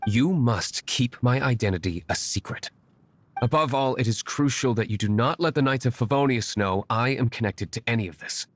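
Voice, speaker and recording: A man's voice: medium pitch, moderate pace, lightly acted. An actor voicing a brooding, aloof young nobleman vigilante in a game, formal, curt and dryly serious. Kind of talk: game character dialogue.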